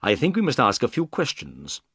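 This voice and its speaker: A man's voice: mid-range voice, wildly swooping pitch, moderate pace, fairly bright tone, breathy edge, dry recording, clean studio sound, exaggerated voice. A man voicing a composed, courteous, shrewd detective in an audiobook story, polite and confident. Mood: polite, inquiring.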